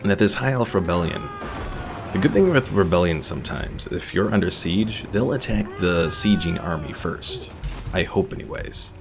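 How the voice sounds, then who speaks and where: A man's voice: low voice, lively intonation, normal speed, vocal fry. A man giving casual live gameplay commentary as a streamer, thinking aloud as he plays. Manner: thinks aloud while playing. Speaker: game streamer. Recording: game music behind voice. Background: video game music.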